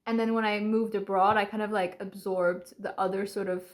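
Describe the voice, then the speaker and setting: A woman's voice: medium pitch, level tone, normal speed. A Polish woman in her twenties with a mixed, American-leaning English accent, telling stories to camera in a chatty way and often laughing. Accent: light mixed American-leaning English accent. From Poland. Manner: chatty and laughing, acts out quoted voices. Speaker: vlogger. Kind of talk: casual storytelling to camera.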